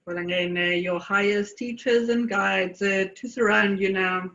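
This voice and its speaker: A woman from South Africa leading a guided meditation, speaking in deliberate phrases with long pauses. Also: medium pitch, fairly even intonation, fairly bright tone, lo-fi sound.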